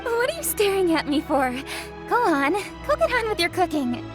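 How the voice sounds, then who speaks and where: A woman voicing a dignified young noblewoman in a video game, speaking in a formal, measured, articulate way. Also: extremely high pitch, sing-song. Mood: flustered. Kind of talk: English video game voice acting. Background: music.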